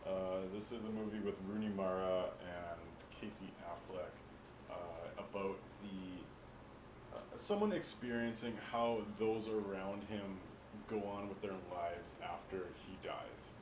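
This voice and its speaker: A man's voice: sits low, unhurried. A man on a podcast panel, chatting casually and explaining films in an earnest, rambling way. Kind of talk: casual chat about films. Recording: echoey room, clear background noise.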